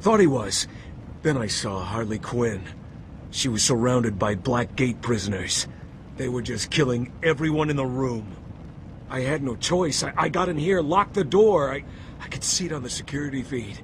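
A shaken male security guard voiced by an actor in a video game, mid-pitched and stammering as he recounts things. Faint noise sits under his voice. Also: expressive, moderate pace, close to an everyday voice. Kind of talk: video game cutscene acting.

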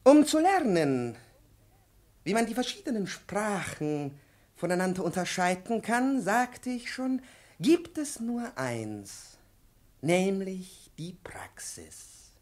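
Irascible professor, 1950s radio play: husky, swooping, domineering, theatrical. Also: male voice, high voice, exaggerated voice. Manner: pedantic, volatile, theatrical. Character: domineering, irascible male professor, pedantic and menacing.

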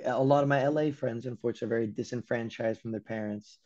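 A young American man from Los Angeles, a guest telling animated stories over a video call, acting out quotes and swearing casually. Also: mid-range voice, quick pace. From Los Angeles, USA. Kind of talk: casual interview chat. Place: room at home by a window. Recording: dull lo-fi call audio.

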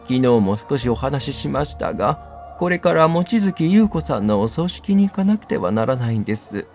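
A man plays a gentle woman teacher in a mystery game. She speaks courteously and softly inquiring, with lively pitch movement over faint music. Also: normal speed. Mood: polite, puzzled. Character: polite, smiling, gentle woman teacher at a children's home.

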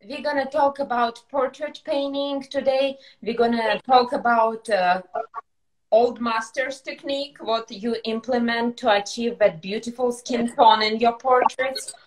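A host with a Russian accent introduces her guest over a video call. She is enthusiastic and laughs easily, with a fairly high voice. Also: fairly even intonation, unhurried, poor audio. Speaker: interview host.

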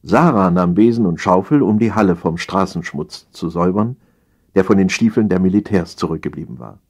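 A man with a husky voice reading satirical stories as an audiobook, in a theatrical, mock-solemn manner with deliberate phrasing. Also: sits low. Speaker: audiobook narrator.

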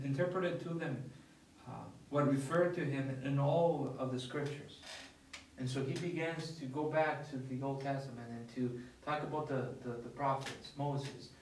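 A young man, a priest, talking casually about scripture at a table, with many restarts and an animated way of telling stories. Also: a little high, slight background noise. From not from the US. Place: dining room at a table with others. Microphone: far camera. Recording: echoey room from far off.

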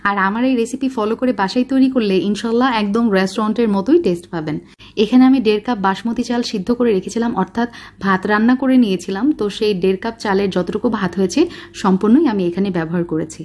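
A woman with a Bangladeshi Bengali accent narrates a cooking recipe at a brisk, rapid clip. Her voice sits at a middling pitch. She talks in a chatty way, walking through each step and naming every measurement. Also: a little mellow. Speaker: cooking channel host.

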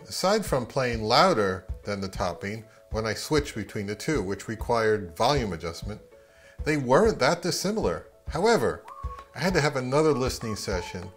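A middle-aged man, narrating a product review of audio gear. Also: mid-range voice.

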